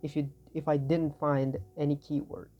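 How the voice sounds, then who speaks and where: A young man with an Indonesian accent explains things in a tired, raspy voice, as if he hasn't slept. He wanders, corrects himself and apologizes along the way. The audio sounds a bit dull and lo-fi. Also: a little high, level tone, normal speed. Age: young adult. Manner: tired, rambling, self-correcting. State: raspy from no sleep. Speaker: student. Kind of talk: informal tutorial explanation.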